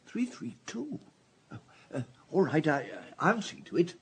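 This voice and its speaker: An actor playing an obliging but world-weary hotel floor waiter with a husky voice in a radio drama. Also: male voice, high-pitched, very animated, a little slow, a little hiss or hum, exaggerated voice. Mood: weary, reluctant.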